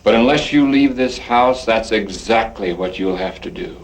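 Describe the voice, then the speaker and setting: Man as a stiff, low-voiced, indignant Western husband. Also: normal speed, natural voice. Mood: tense resolve. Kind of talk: old-time radio Western drama acting.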